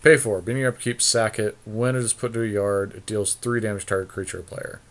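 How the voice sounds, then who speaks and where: A man's voice: lowish voice, steady tone, quick pace. A man co-hosting a podcast, chatting casually and thinking aloud as he plays a card game, with some offhand swearing. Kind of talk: casual chat while drafting a card game.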